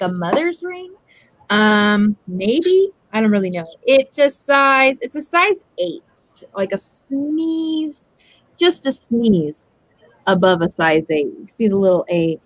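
Young woman, slightly high, dry webcam sound; loose, rambling seller chat. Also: a bit bright, no echo, low-quality recording. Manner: chatty, rambling, heavy use of "like" and "um". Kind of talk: casual live-stream chat. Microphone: webcam video call.

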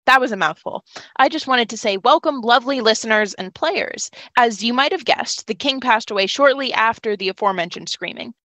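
A woman's voice: a little high, animated, brisk, fairly bright tone, no room sound. A woman hosting a tabletop game podcast as game master in a playful, theatrical, jokey way.

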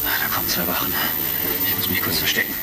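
An actor playing a casual, wry space hero commander in a retro sci-fi radio play, talking in short informal lines. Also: male voice, extremely low pitch, expressive, fast, gravelly, heavily acted. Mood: focused. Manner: informal, clipped, calls partner buddy. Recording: crackly vintage radio-play record. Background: music.

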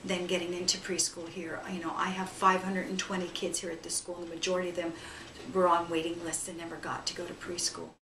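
American woman, middle-aged, lowish voice, interview testimonial in an echoey room. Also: little pitch movement, fast talker, background noise. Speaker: elementary school principal. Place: small office, indoors. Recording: roomy, distant mic, music behind.

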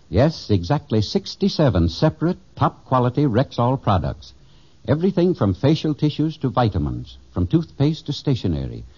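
A man reading a 1950s radio commercial as an announcer, with a husky, mellow voice. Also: mid-range voice. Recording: dull old radio recording.

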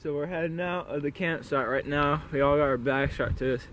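A man's voice: a little high, fairly quick, fairly bright tone. A young man giving a casual, slangy, sweary camping vlog into a handheld camera. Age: young adult. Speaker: vlogger. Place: outdoors on a windy mountain, partly inside a tent. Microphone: handheld camera at arm's length. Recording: noisy wind-blown outdoor camera audio.

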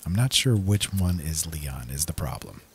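A man's voice: extremely low pitch, animated, moderate pace, gravelly, a little hiss or hum. A man giving casual, easygoing commentary on his own gameplay, talking to himself as he plays.

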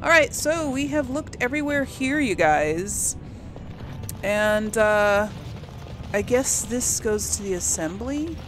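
A woman's voice: medium pitch, lively intonation, takes it slowly. A woman hosting a casual let's play, chatting and thinking aloud as she plays. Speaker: gaming channel host. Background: game music.

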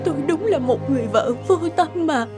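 A woman's voice: high voice, animated, a little fast, over loud music. A woman voicing a tender, remorseful wife in grief, plaintive and emotional, in a cartoon melodrama. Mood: anguished, remorseful. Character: grieving remorseful divorced wife, adult, tender.

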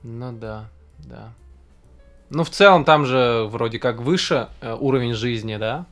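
Young man, host, video call, relaxed, short questions, faint music behind. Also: mid-range voice, unhurried. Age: young adult. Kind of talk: casual video-call interview. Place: indoor home room.

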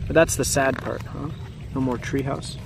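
A man's voice: medium pitch, steady tone, moderate pace, vocal fry. A man in his thirties reading a picture book aloud for children outdoors, with simple phrasing, chatty asides and questions to young listeners. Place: outdoors in a grassy, wooded yard. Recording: outdoor camera audio with background noise.

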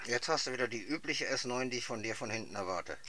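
A man's voice: mid-range voice, animated, fast talker, very noisy. A man chatting casually as a radio amateur over a radio link. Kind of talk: casual two-way radio chat.

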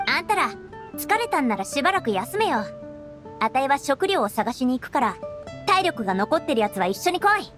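Tough loud rocker girl, rough boyish talk, high and bouncy, music under it. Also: sing-song, a little fast. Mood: bossy. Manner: rough, blunt, boyish speech. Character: brash tough young woman rocker. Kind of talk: game voice acting.

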